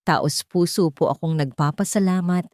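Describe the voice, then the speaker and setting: A woman's voice: on the low side, moderate pace, a little mellow, no room sound, studio quality. A woman with a Filipino accent narrating a scripted story in a dramatic audiobook style.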